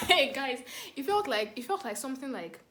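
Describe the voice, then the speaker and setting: A woman with a Nigerian accent telling a story casually to camera, animated and dramatic, repeating words for emphasis. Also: high voice, moderate pace. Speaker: vlogger telling a family story.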